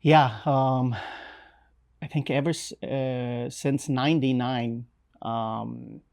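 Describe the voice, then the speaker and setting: A young man from Romania with a Romanian accent, telling his life story in an interview with many pauses, fillers and restarts. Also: medium pitch, fairly even intonation, vocal fry, a little mellow. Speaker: missionary guest. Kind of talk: interview, personal testimony.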